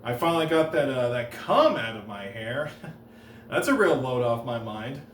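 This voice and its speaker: Deadpan male stand-up in a roomy room. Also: medium pitch, slight background noise. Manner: deadpan, halting, with restarts and pauses. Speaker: stand-up comedian. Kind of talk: deadpan one-liner stand-up. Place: comedy stage. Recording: roomy live room, heard from a distance.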